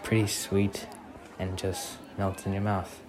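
A man playing a gentle, hesitant young boyfriend in a soft-spoken ASMR roleplay, reassuring his date. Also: low voice, monotone, takes it slowly. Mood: relaxed, cheerful. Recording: crowd ambience and noise behind voice.

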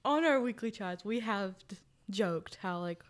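A woman's voice: mid-range voice, expressive, measured pace. A woman of nineteen, a college student, chatting casually as a podcast guest. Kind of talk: casual chat.

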